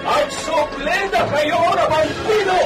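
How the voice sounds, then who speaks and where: An actor playing an alarmed man in a radio drama, shouting urgently. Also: very high voice, slow pace. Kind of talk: Ilocano radio drama acting. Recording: loud dramatic music behind.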